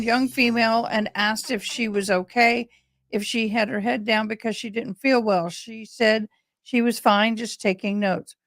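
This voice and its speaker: A woman hosting a casual livestream, talking in a halting, rambling way. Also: mid-range voice, fairly bright tone, no room sound. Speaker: livestream host.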